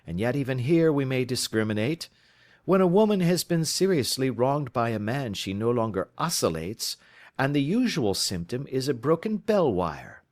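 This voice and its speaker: A man voicing a keen, lofty male detective in an audiobook, crisp and lecturing in manner. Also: medium pitch, very animated, moderate pace, darkish tone, no room sound, clean studio sound, pitched above the performer's own voice, lightly acted. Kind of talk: audiobook character reading.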